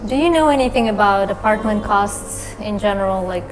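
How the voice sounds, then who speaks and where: A woman's voice: medium pitch, moderate pace, over music, rough recording. A woman interviewing a guest in casual chat, asking short questions with simple, slightly non-native phrasing.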